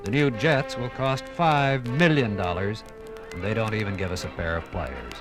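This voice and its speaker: An American man narrates in the grand style of 1950s radio documentary. His delivery is oratorical and theatrical, shaped by dramatic pauses, with music playing clearly behind him. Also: on the low side, expressive.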